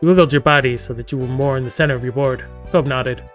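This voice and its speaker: A man's voice: mid-range voice, steady tone, quick pace, fairly bright tone. A man streaming a game live, reading its story text aloud and breaking off into chatty, joking asides. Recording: music playing behind the voice.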